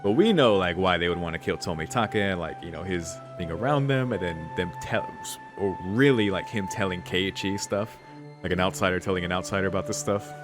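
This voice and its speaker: A man's voice: sits low, fairly quick. A man giving casual let's-play commentary, rambling and thinking aloud in slangy speech. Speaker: video host, game player. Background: game music.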